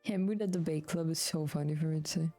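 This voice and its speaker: A woman's voice: low-pitched, steady tone, fast, warm tone. A woman livestreamer casually reacting to a video game in short remarks. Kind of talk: casual live gaming commentary. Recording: faint game music behind.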